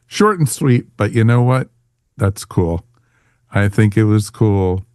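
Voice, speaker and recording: A man's voice: fairly low pitch, expressive, slow pace, darkish tone, smooth, no echo, clean studio sound. A man hosting a podcast in a casual, chatty, informal way. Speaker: podcast host.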